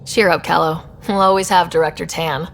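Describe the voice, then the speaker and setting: A woman's voice: lowish voice, steady tone, brisk, vocal fry, soft background music. A woman playing a curious, friendly young space explorer who leads a crew in a video game. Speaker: expedition leader.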